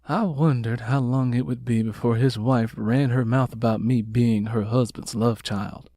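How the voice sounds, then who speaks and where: A man narrates a scripted novel in the first person. His voice sits at an average pitch for a man. The sound is dry, with no room around it, as suits an audiobook. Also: fairly even intonation, a little slow, mellow, dry recording.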